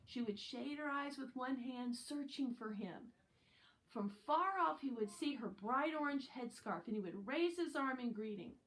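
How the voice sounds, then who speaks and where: A woman's voice: high voice, lively intonation, measured pace, a bit bright, poor audio. A woman narrating a children's novel aloud as a scripted reading. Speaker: audiobook reader.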